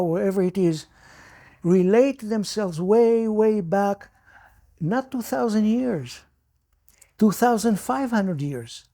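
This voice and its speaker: Older Iraqi-born man, halting recollection. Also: high-pitched, warm tone. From Iraq. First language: Judeo-Arabic. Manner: halting, many restarts and repeated words. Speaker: interview guest. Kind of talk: personal recollection.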